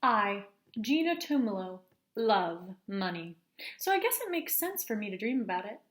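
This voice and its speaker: A woman's voice: mid-range voice, sing-song, moderate pace, natural voice. A woman voicing an eager, money-loving eleven-year-old girl who narrates a storybook earnestly and with dramatic emphasis. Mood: earnest, wistful. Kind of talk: storybook first-person narration.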